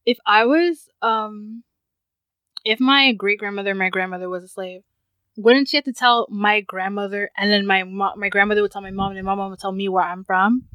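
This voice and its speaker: A woman's voice: mid-range voice, steady tone, normal speed, pushed and bright, clean tone, dry recording. A woman speaking informally as a panelist in a casual group discussion. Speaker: podcast panelist.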